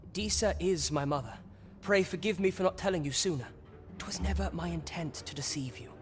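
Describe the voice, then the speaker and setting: A polite young prince played by a male actor speaks in a lightly high voice with careful, earnest formality. It is archaic fantasy game dialogue, guarded and courteous. The voice rings in a long hall reverb over music. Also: natural voice. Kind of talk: scripted fantasy game acting in archaic English.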